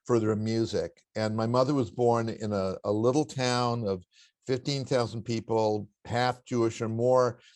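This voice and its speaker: An older man with a baritone voice, a guest in an interview, telling family stories in a reflective, anecdotal way with occasional chuckles. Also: fairly even intonation, measured pace, dry recording. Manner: warm anecdotal storytelling with chuckles. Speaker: interview guest, spiritual teacher.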